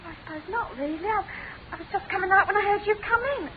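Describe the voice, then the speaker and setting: Frightened young woman, very high voice. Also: fast, a crowd in the background. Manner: breathless, stammering, defensive. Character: frightened, defensive young woman searching for her boyfriend. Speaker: actress in a radio drama. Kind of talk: British radio drama acting. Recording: tinny, noisy old radio recording.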